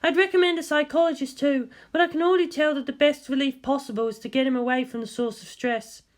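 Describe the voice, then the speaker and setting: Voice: sits high, fairly even intonation, fast talker, pitched above the performer's own voice, lightly acted. A narrator voicing a cool, clinical female scientist with a dry, matter-of-fact manner. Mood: cool, measured.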